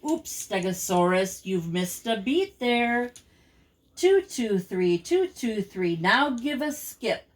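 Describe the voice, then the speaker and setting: A woman's voice: mid-range voice, big pitch swings, slow pace. A woman voicing an exasperated dinosaur dance director in a storybook poem, counting and correcting the chorus line in rhythm. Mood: bossy, correcting.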